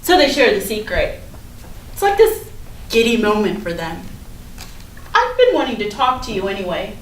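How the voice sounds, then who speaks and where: A woman's voice: medium pitch, wildly swooping pitch, normal speed. A woman playing a popular, defensive teenage schoolgirl in a staged play reading, with chatty, casual, hedging delivery. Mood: confiding, self-excusing. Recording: echoey room from far off, noisy.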